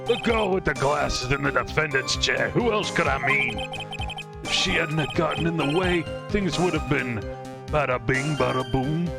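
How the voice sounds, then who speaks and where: Man doing a gravelly New mobster loan shark. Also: fairly high pitch, moderate pace. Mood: menacing. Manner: slangy tough-guy talk. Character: thuggish male mobster loan shark, brash and crude. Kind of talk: fan dub of a video game. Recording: music playing behind the voice.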